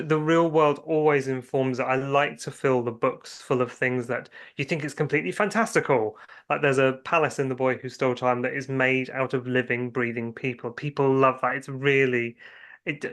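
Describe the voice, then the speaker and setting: A man's voice: mid-range voice, fairly even intonation, normal speed, a bit bright. A man speaking as an interview guest, chatting casually about his creative work in rambling anecdotes with hesitant starts. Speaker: guest writer and filmmaker.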